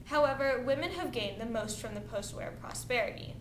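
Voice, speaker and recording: A young woman reading scripted news as the anchor of an amateur newscast. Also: fairly quick. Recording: echoey room with rumbling noise.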